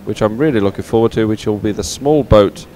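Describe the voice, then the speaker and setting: A man's voice: on the low side, a little fast, mellow. A man anchoring live sports commentary, conversationally announcing results and what is coming up.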